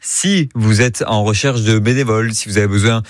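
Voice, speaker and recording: A man's voice: on the low side, a little fast. A man co-hosting a radio show, announcing the next morning's program in a friendly, rambling way with fillers. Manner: friendly, explains at length with fillers. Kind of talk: on-air show announcement and banter.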